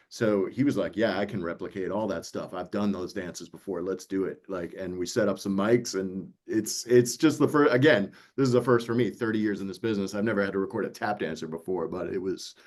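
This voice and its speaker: Very low-voiced middle-aged man, conversational. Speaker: audiobook producer. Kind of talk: conversational panel talk.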